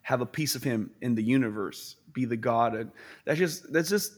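A man's voice: mid-range voice, normal speed. Slangy young male podcast guest. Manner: animated, slangy, acts out voices of others. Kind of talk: casual chat.

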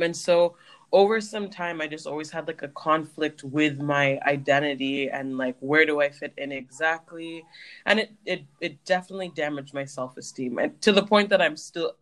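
A woman's voice: low voice, steady tone, moderate pace, fairly bright tone. Woman cohost, thoughtful storytelling, lots of um and like. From Canada. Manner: reflective, uses many fillers like um and like. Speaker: podcast co-host. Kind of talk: personal storytelling in casual chat.

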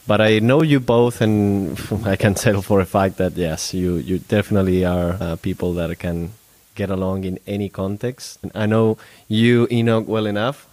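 A man's voice: on the low side, darkish tone, no room sound. A man hosting a conversational interview, easygoing, guiding the talk and prompting with short affirmations. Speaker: host.

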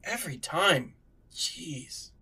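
Man playing an exasperated, bright-voiced sarcastic cop. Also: a little high, lively intonation, takes it slowly, slightly rough, exaggerated voice. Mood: exasperated, indignant. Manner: grumbling, impatient, sarcastic. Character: exasperated, sarcastic male sci-fi city policeman. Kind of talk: comedic podcast radio drama acting.

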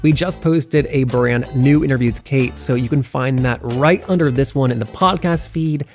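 A man's voice: mid-range voice, normal speed, a little mellow, faint music behind. A man reading a welcoming, upbeat introduction as a podcast host.

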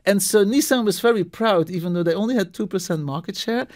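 A middle-aged man from Belgium, a marketing professor, talking casually as a guest and telling animated stories with many restarts. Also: high voice, fast talker. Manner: animated storyteller, many restarts and repeated words. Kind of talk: casual interview talk.

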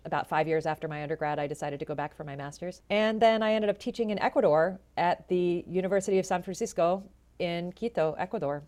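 A woman in her forties with a low voice, telling her story in a chatty, animated way as an interview guest. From Florida, USA. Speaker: jazz vocalist and educator, guest.

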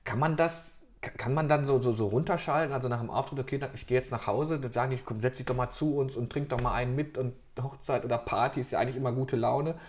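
Male journalist interviewer, conversational and rambling, middle-pitched voice. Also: quick pace, few pauses. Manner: chatty, rambling questions with restarts. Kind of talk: casual interview.

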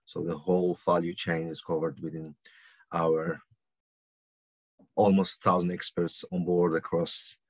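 Man, from Algeria, very low, monotone, halting, podcast guest. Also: no room sound. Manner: halting, many ums and restarts. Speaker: guest consultant. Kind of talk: podcast interview answers.

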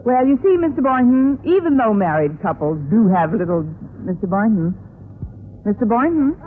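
An actress playing a wry schoolteacher who delivers dry, sardonic lines in a 1950s radio sitcom. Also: female voice, on the high side, very animated, moderate pace. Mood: dryly amused. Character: wry, wisecracking unmarried woman schoolteacher. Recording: tinny, noisy old radio recording.

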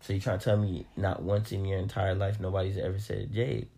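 A young adult man with a raspy voice, talking as a guest on his sister's podcast, brash, joking and profane. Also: deep voice, little pitch movement, fairly quick, dark tone, a little hiss or hum. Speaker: guest, host's brother.

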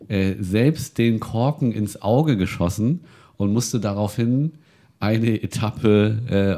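A man's voice: on the low side, dark tone. A man co-hosting a casual chat show, rambling with many fillers and restarts as he talks about reality TV. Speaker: podcast co-host.

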